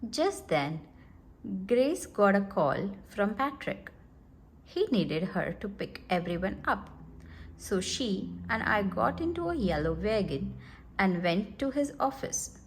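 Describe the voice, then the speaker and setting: A woman reading a children's storybook aloud in an expressive, deliberate manner. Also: medium pitch, a little hiss or hum. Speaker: storybook reader.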